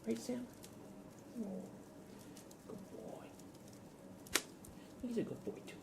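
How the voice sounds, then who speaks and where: A man streaming live ASMR, speaking softly and often in a whisper, with a playful, deadpan roleplay manner. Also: very high voice, slow, creaky, very noisy.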